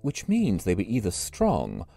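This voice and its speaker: A man's voice: fairly low pitch, huge pitch swings, a little slow, gravelly, warm tone, faint music behind, heavily acted. A man voicing a weary, disdainful listener figure in an audio drama. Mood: dry. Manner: dry, disdainful.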